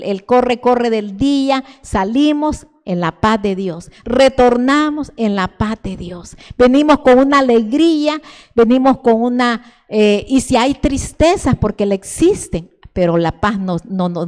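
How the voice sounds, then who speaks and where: Older woman, salvadoran spanish accent, church sermon, fervent and repetitive, restarts words, fairly high voice, long reverb of a church hall. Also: a little mellow. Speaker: church teacher. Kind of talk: sermon teaching.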